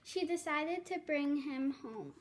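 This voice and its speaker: A young girl reads a storybook aloud in a high voice. She goes slowly and carefully, sometimes halting over the words. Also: lively intonation, a little hiss or hum. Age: child. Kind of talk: children's storybook read-aloud.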